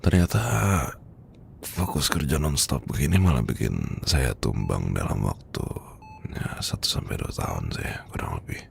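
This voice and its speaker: Very deep, gravelly, weary Indonesian boss, acted by a man. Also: very animated, a little hiss or hum, exaggerated voice. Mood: wistful, resigned. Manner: hesitant, murmuring, halting, sighing. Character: weary, heartbroken male boss confiding from sickbed. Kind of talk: ASMR roleplay.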